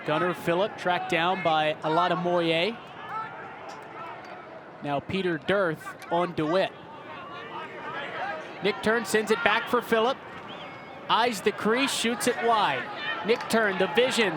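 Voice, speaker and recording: A man's voice: high voice, slow pace, pauses often, crowd noise, noisy background. A man in his twenties working as a sports broadcaster, hosting and calling play-by-play. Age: 20s.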